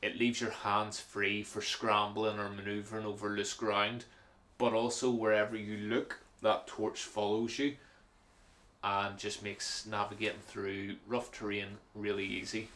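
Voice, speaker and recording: Casual male vlogger, lowish voice, practical and unhurried, lots of ums. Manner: relaxed, practical, frequent fillers and repeated words. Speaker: hiking vlogger. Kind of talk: casual gear walkthrough.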